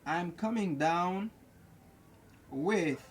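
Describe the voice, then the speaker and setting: A young man teaching an English lesson at a whiteboard, slowly repeating each phrase several times. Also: high voice, animated, slight background noise. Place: indoors at a whiteboard. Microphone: camera close by.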